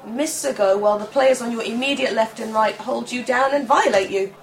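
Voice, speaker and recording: A woman's voice: a little high, brisk. A woman in a comedy sketch with a gleeful, teasing manner. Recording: roomy echo.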